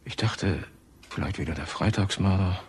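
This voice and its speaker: An actor playing an uneasy, evasive suspect in a crime radio drama, hesitant and guarded. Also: male voice, deep voice, normal speed, husky. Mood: shaken. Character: evasive, uneasy man, mother's boy under suspicion.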